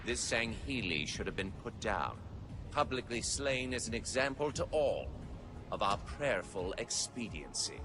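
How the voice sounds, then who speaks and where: An actor playing a severe, pious alien scribe, narrating video game lore in a formal, ceremonious, foreboding manner. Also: male voice, fairly low pitch, sing-song, a little slow, over music. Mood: grave, disapproving.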